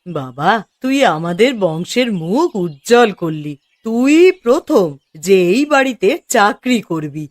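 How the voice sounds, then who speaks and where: A woman voicing a middle-aged village housewife in a cartoon story, expressive and exclaiming. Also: mid-range voice, unhurried, soft background music. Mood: fond. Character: emotional village mother and neighbour wife, middle-aged. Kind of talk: Bengali moral-story cartoon voice acting.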